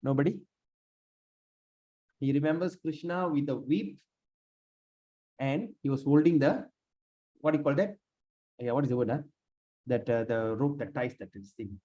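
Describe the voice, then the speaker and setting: Halting man with a worn-out voice. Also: medium pitch, mellow. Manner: halting, many fillers and repeats. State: lost his voice, sprained neck. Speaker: class leader. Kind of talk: scripture class talk. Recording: dull lo-fi room recording.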